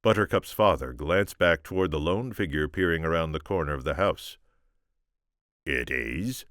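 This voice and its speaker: A man reading an audiobook, telling the story in a measured, dramatic way. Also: low voice, fairly bright tone, no room sound, studio quality. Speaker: audiobook reader. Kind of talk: scripted story narration with informal asides.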